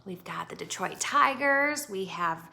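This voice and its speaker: An American woman teacher reading a picture book aloud to children, expressive and instructive, pausing to put questions to her young listeners. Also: mid-range voice, creaky.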